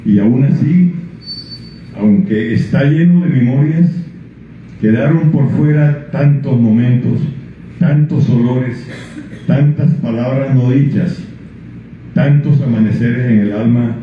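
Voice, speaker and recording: An older man speaks as an author at his book launch. His talk is mid-pitched and halting, full of restarts. The sound is very echoey and thin, like a phone line, with faint hiss. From Colombia. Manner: halting, with many restarts and fillers when speaking freely. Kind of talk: reading prologue, then answering questions. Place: book presentation event. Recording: echoey room over a tinny lo-fi line.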